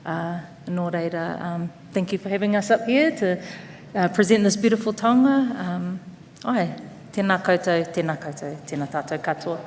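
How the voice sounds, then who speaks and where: A woman's voice: on the low side, moderate pace, few pauses. NZ woman presenting in a hall. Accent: New Zealand. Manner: relaxed, with frequent ums and te reo Māori words. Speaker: conference presenter. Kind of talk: speech from the stage. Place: conference hall with audience. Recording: reverberant hall with crowd and faint noise.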